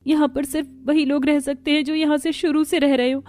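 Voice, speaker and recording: A woman acting an expressive, chatty young village daughter-in-law in a cartoon story. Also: sits high, a little fast, close to an everyday voice. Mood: apologetic.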